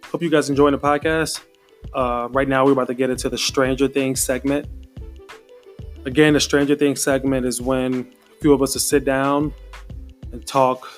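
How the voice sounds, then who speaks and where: An American man hosting a casual podcast, talking informally to friends and listeners. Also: medium pitch. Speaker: podcast host.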